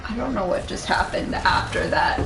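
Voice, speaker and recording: A woman's voice: mid-range voice, moderate pace, creaky. A woman chatting casually and informally as she plays a video game with a teammate. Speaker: co-player on a game stream. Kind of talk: casual gameplay chat. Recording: echoey room with faint background noise.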